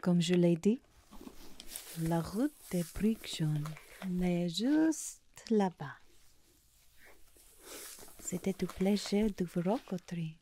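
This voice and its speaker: A gentle, heartless Tin Man from an ASMR roleplay, acted by a woman. The voice is lowish and mellow, moving very slowly with polite, hesitant murmurs. A faint noise sits behind it. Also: expressive. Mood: kindly, polite. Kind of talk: French ASMR roleplay, soft-spoken.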